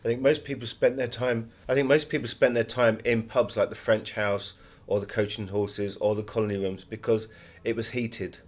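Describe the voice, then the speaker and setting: Low-pitched man telling anecdotes. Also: flat delivery, normal speed, hardly any pauses. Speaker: interviewed author. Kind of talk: conversational interview answers with anecdotes.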